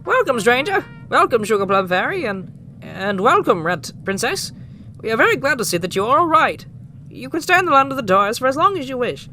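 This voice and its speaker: An actor playing a timid, gentle toy lord, a jack-in-the-box, in a polite, hesitant, theatrical manner for an audio drama. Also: mid-range voice, very animated, a little fast, soft background music. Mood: courtly, welcoming. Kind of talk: amateur audio drama acting.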